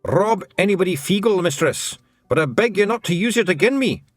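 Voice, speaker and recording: A tiny, rowdy fairy chieftain, squeaking high with a thick Scottish brogue. His voice leaps all over the place, loud and boisterous. Recorded dry with faint music beneath. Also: animated, brisk, no echo. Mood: rowdy, pleading. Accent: put-on Scottish. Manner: boisterous, shouty, brogue-heavy. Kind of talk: audiobook character voice.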